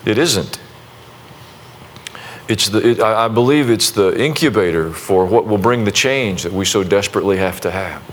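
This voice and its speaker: A man preaching to his congregation in a conversational, folksy way, with many restarts and a warm self-deprecating humor, then leading a prayer. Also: lowish voice, lively intonation, normal speed. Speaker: pastor.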